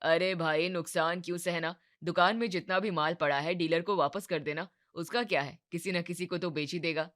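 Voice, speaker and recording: A woman voicing a pushy, confident young man from the city, persuasive and insistent, in animated story dialogue. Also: lowish voice, normal speed, few pauses, close to an everyday voice.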